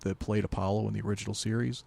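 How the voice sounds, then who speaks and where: Low-voiced man chatting haltingly. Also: fairly even intonation, vocal fry, darkish tone. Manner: halting, rambling, self-correcting. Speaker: podcast co-host. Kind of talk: casual pop-culture chat.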